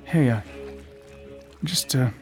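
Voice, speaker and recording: An actor plays a nervy but determined conspiracy investigator in an audio drama. His mid-pitched voice is earnest and halting, with music behind it. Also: close to an everyday voice. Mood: weary.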